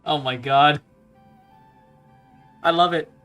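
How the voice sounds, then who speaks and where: A man's voice: a little high, steady tone, slow, fairly bright tone, clear, resonant tone. A man giving informal, amused gameplay commentary, thinking aloud as he reacts. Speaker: let's play commentator. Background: game music.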